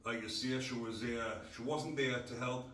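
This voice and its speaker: A man's voice: mid-range voice, steady tone, moderate pace, fairly bright tone. A middle-aged man from North East England, hosting a casual podcast in a blunt, rambling way. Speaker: podcast host. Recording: echoey room, distant mic.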